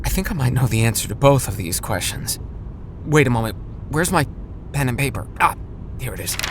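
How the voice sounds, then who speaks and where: Man as an animated, anxious dad. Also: mid-range voice, a little fast, background noise, natural voice. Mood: scheming. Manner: expressive, gently chiding. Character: anxious, caring father of two children. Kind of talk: children's audio drama acting.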